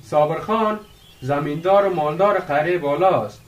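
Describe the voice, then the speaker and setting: A man's voice: fairly high pitch, recorded from a distance, highs cut off, lightly acted. An actor playing a curious, impatient young village man who prods his friend conversationally in a radio drama. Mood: friendly. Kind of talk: radio drama acting in dialogue.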